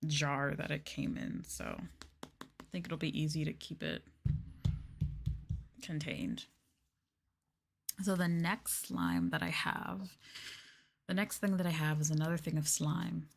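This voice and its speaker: A soft-spoken woman streaming ASMR, casually chatting to viewers as the host and describing what the hands are doing, close to the microphone. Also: low-pitched. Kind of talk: casual ASMR soft talking to live chat. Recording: close mic with clear handling noise. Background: crinkling, clicking and handling noises.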